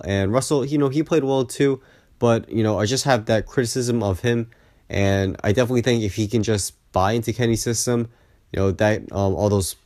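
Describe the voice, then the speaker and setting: Low-voiced man, sick and losing his voice. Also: a little mellow, no room sound, clean studio sound. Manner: conversational, opinionated, frequent "you know". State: sick, voice partly lost. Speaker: sports video creator and host. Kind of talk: casual basketball recap and analysis vlog.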